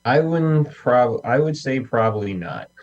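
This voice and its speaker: A man's voice: mid-range voice, very animated, measured pace. A man chatting casually as a guest, answering briefly in a hesitant, noncommittal way. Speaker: talk show guest. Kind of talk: casual chat. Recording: band-limited, dull lo-fi sound.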